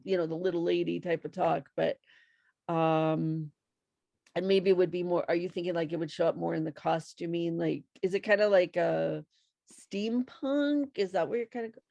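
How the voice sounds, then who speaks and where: A woman with a creaky voice giving casual, hesitant feedback on a video call. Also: fairly low pitch, steady tone, normal speed, dark tone, no room sound, dull audio. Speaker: volunteer reader giving feedback. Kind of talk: casual critique chat.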